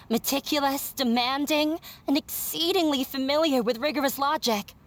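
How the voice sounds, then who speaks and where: A woman voicing a gloomy, blunt young woman who speaks wearily and without hope in a game cutscene. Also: sits high. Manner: flat, weary, bluntly pessimistic.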